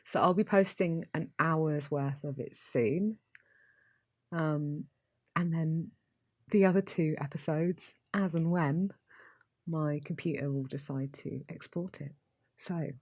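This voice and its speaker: A woman with a Received Pronunciation English accent hosting a podcast, talking casually and reading aloud in a flat, even way with frequent ums. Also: sits low. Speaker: podcast host.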